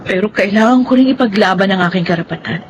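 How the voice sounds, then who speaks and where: A woman's voice: mid-range voice, fast talker, background noise, close to an everyday voice. An actress with a Filipino accent playing a dignified, hurt young woman in a radio drama, speaking earnestly and solemnly. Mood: firm, hurt. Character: Veron, wounded, proud, dignified young woman in love. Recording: dull radio audio under loud music.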